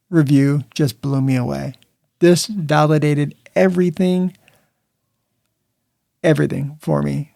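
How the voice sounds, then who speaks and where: Gravelly-voiced male podcast host. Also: on the high side, a little mellow, no room sound, clean studio sound. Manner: earnest, reads listener reviews aloud. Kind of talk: podcast interview.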